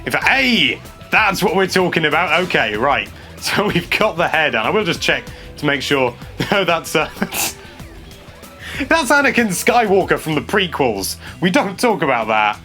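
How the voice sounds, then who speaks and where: A young man hosting a casual gameplay commentary, chatty and excitable, stumbling over his words and laughing a lot. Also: a little high, unhurried, faint music behind. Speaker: games journalist host. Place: small indoor room.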